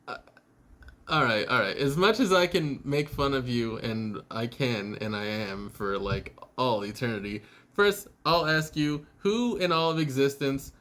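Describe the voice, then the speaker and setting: A man giving a casual, rambling video message to a friend, sounding a little tired and out of it, halting and teasingly irreverent, with some swearing. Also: mid-range voice, expressive, fairly bright tone. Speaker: spiritual vlogger.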